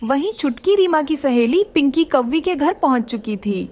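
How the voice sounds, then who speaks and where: A woman's voice: sits high, lively intonation, normal speed, a little mellow. A woman giving scripted narration for a children's cartoon story.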